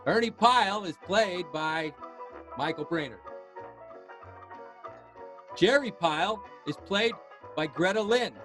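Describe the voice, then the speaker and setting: Man announcing credits, raised pitch. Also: fairly bright tone, low-quality recording. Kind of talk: scripted credits reading.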